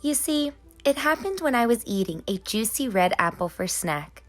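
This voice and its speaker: A woman's voice: a little high, very animated, normal speed, slight background noise. A woman reading as a mischievous, anxious young girl in a children's storybook, in sing-song rhyme. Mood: excited, worried. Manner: sing-song rhyming delivery. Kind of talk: children's storybook narration in character.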